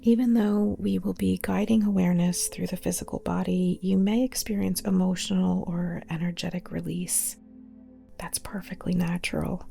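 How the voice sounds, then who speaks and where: A woman guiding a relaxation meditation in a soft, breathy near-whisper, calm and soothing, with short spaced phrases. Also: on the low side. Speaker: yoga nidra meditation guide. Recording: modest older mic, music behind.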